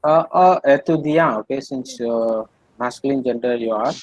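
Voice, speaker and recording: A man's voice: mid-range voice, moderate pace, darkish tone, no echo, poor audio. A man with an Indian accent leads an interactive language lesson. He repeats phrases, translates them line by line and often asks brief questions to check understanding. The sound is very dry, with no room around the voice. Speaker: French language teacher.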